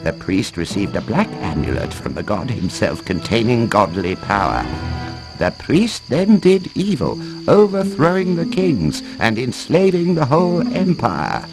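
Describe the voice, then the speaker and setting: An actor playing a mild, learned professor who explains ancient lore with hesitant little chuckles in a game cutscene. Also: male voice, medium pitch, wildly swooping pitch, a little slow, over music, rough recording. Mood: storytelling, intrigued. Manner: expository storytelling with hesitant chuckles. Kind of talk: scripted video game cutscene acting.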